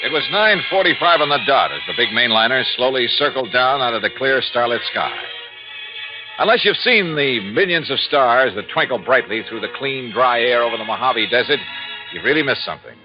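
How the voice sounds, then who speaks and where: An actor playing a wry, dry-humored insurance investigator who also narrates, in a 1950s radio drama. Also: male voice, mid-range voice, animated, fairly quick, natural voice. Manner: wry, dry, hard-boiled. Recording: dull lo-fi 1950s radio recording with faint music.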